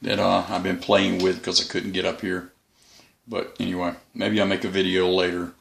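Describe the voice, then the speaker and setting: Middle aged guy doing a rambly folksy review, creaky voice but bright n punchy, says what he thinks. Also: deep voice, flat delivery, normal speed, slight background noise. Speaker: radio hobbyist reviewer. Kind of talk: casual unscripted product review. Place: room in a house.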